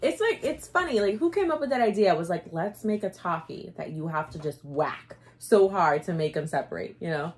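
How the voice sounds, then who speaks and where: A woman in her thirties co-hosting a casual snack review, lively, with quick asides and frequent laughter. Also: fairly low pitch. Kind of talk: casual snack tasting chat. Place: indoor room before a glitter backdrop.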